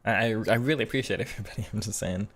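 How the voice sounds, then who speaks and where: Male streamer, lower register, loose and chatty, talks through tactics off the cuff. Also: rapid-fire, fairly bright tone, faint music behind. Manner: chatty, thinks aloud with frequent restarts. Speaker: streamer and game coach. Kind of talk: casual live-stream commentary reading chat.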